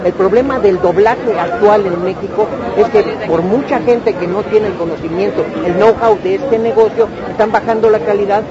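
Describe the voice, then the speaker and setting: A man's voice: high-pitched, normal speed, few pauses. A man with a Mexican accent, a striking voice actor, earnestly explaining his union's grievances at length during a street protest interview. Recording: muffled street recording with loud crowd.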